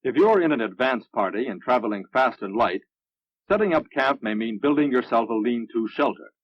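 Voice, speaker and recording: An American man reading scripted narration for a military instructional film in a measured, instructive manner. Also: mid-range voice, expressive, no room sound. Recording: muffled, dry vintage film soundtrack.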